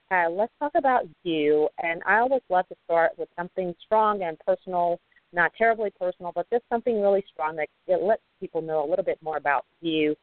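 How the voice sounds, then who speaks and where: Upbeat woman host, lowish voice, dry phone-line sound. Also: no echo. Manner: upbeat, chatty, many fillers. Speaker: talk show host. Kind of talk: casual online radio interview.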